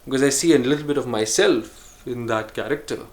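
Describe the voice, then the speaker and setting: Earnest male vlogger, mid-pitched, unhurried musing delivery, pauses and self-corrections. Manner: earnest, speaks of choosing calm over harshness. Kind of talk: reflective solo monologue.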